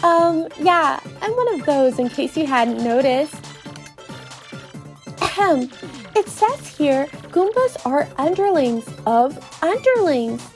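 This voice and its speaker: A woman's voice: high-pitched, big pitch swings, slow, soft background music, exaggerated voice. A woman voicing a sassy, bookish young female game creature with a sarcastic, indignant manner.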